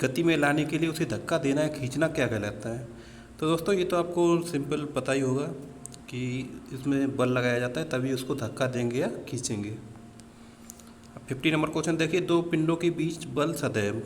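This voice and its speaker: Guy doing exam coaching, kinda high voice, lots of reverb, explains step by step with english terms. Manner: explanatory, repeatedly addresses listeners as friends; mixes English technical terms into speech. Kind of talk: practice question walkthrough lesson. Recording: reverberant room with background noise.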